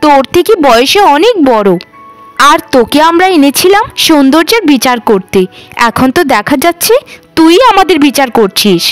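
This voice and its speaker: Casting: woman playing sharp-tongued, envious young sister in a cartoon, high and very lively, music faintly behind. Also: normal speed. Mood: scornful. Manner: nagging, sarcastic. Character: jealous, sharp-tongued middle landlord's daughter. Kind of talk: cartoon voice acting.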